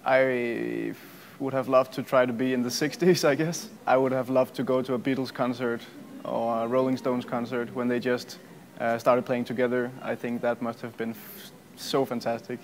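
Easygoing Danish man, even-toned. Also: medium pitch, normal speed. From Denmark. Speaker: violinist. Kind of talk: interview answers. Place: museum gallery.

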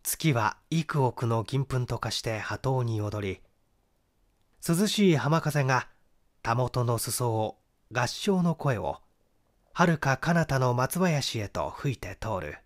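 A middle-aged man narrates literary mystery fiction. His medium-pitched voice moves up and down in a lively way, giving the reading a dramatic, expressive shape. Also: takes it slowly. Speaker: audiobook narrator, stage actor.

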